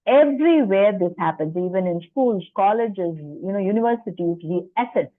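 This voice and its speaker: A woman's voice: mid-range voice, lively intonation, normal speed, no echo. A woman lecturer presenting at a faculty workshop, explaining in a chatty way with personal asides and rhetorical questions. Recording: dry tinny phone-like line.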